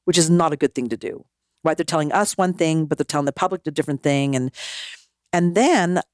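A woman, a former mayor, telling her story as a podcast guest in an animated way, often acting out what people said. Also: deep voice, brisk, no echo, clean studio sound. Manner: animated, acts out quoted dialogue. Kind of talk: storytelling conversation.

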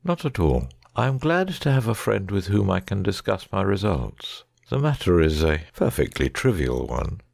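A man playing a keen, analytical gentleman detective in a dramatic audiobook reading, precise, discursive and wry. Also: deep voice, sing-song, normal speed, gravelly, few pauses, dry recording, clean studio sound, exaggerated voice. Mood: genial, interested.

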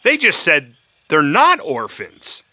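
Casting: big goofy cartoon dog, timid, husky, bright, wildly swooping delivery. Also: male voice, a little high, wildly swooping pitch, takes it slowly, a little hiss or hum, full character voice. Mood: explaining. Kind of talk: children's cartoon acting.

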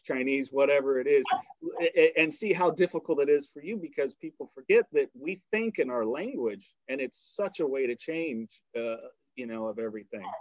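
A man's voice: a little high, no room sound, highs cut off. A man hosting a podcast interview, talking in long rambling turns with blunt, salty language. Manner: rambling, blunt, swears freely. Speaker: podcast host. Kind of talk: casual interview conversation.

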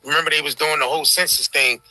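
An American man co-hosts a casual livestream in a high, piercingly bright voice. His talk is slangy and sweary, circling back on the same phrases. The sound is dry and close. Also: steady tone, fast, no room sound, poor audio. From United States. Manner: slangy, profane, repetitive. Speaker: co-host. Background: faint background music.

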